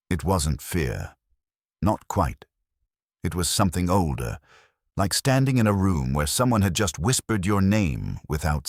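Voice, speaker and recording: Male narrator, extremely deep, lively intonation, scripted mystery storytelling, close dry sound. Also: dry recording.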